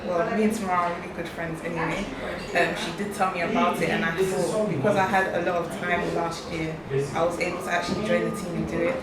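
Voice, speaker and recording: A woman's voice: fairly low pitch, little pitch movement, fast talker, hardly any pauses, over a noisy crowd. A woman giving casual interview answers and often saying "like", recorded with echo and noise. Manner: peppers speech with "like". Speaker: interviewee, youth organisation mentor. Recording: echoey, distant mic, noisy background.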